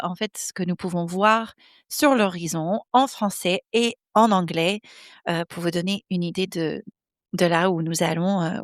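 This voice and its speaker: A woman's voice: fairly low pitch, no room sound, clean studio sound. American woman hosting her podcast, hesitant. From United States, Midwest. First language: English. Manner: hesitant, searching for words, many fillers. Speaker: podcast host. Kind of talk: personal monologue. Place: home office.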